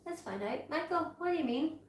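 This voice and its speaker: A woman in her forties who grew up in Africa chats playfully alongside her partner, laughing often. Her voice is slightly high and sits well back from the mic. The room is echoey, with faint noise behind her. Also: fairly quick, recorded from a distance. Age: 40s. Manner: giggly, animated, laughs often. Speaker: co-host partner. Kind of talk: casual livestream chat.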